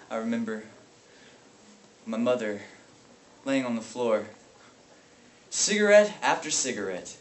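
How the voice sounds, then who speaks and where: A man performing a dramatic monologue as a haunted, bitter, grieving brother, speaking in a halting, intense way. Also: medium pitch, a bit bright. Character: grief-stricken brother, haunted and bitter over family abuse. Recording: echoey, noisy room from far off.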